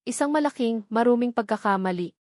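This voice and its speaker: A woman's voice: fairly high pitch, expressive, brisk, darkish tone, no room sound, close to an everyday voice. A woman plays an arrogant, hot-headed young heir in an audio romance drama. The spoiled son is scornful and defiant, heard in a dry, close sound. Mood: angry, defiant.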